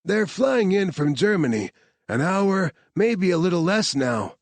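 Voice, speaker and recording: A man's voice: sits high, very animated, unhurried, no room sound, voice pushed up, exaggerated voice. A man voicing an earnest young male computer expert in his mid-twenties.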